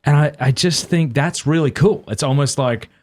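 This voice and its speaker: A man's voice: on the high side, normal speed, darkish tone, no room sound. A man in his thirties hosting a podcast, talking candidly in a rambling, self-deprecating way. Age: 30s. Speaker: podcast host. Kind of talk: casual conversational chat.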